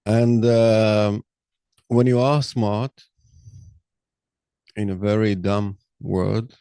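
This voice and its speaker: A middle-aged man with an Israeli accent, a native Hebrew speaker, hosting a personal monologue podcast, talking slowly with many pauses and fillers. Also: deep voice, gravelly, dark tone, no echo. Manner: slow, halting, many fillers. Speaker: podcast host.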